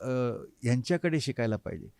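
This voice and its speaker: A man, reminiscing reflectively as an interview guest and often pausing mid-sentence to find his words. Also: mid-range voice, very animated, gravelly, a little mellow, no room sound, studio quality. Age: 50s. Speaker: interview guest, harmonium player. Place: recording studio.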